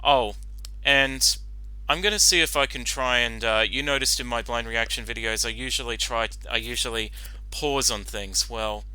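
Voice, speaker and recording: A man hosting a reaction video, commenting casually off the cuff in a halting, apologetic way. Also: mid-range voice, sharp tone, clean tone, no echo, low-quality recording.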